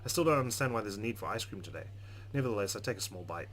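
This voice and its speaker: A man's voice: lowish voice, animated, racing, fairly bright tone. A man hosting a let's play, reading game narration expressively with casual, playful asides. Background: game music.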